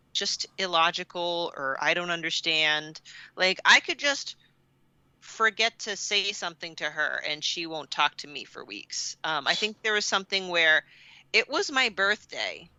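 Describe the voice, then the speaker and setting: A woman podcast co-host with a very bright, forceful voice and smooth, resonant tone. She talks candidly and casually, leaning on words for emphasis. The sound is dry and close. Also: on the low side, sing-song, measured pace, no echo, lo-fi sound. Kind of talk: casual personal chat.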